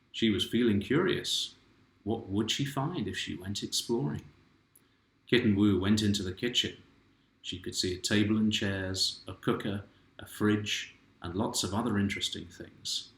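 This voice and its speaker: A man's voice: lowish voice, gravelly. Man reading a storybook. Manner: gentle, asks the child and viewers questions. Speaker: author reading his picture book aloud. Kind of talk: children's storybook reading with questions to the listener. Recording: roomy home room echo.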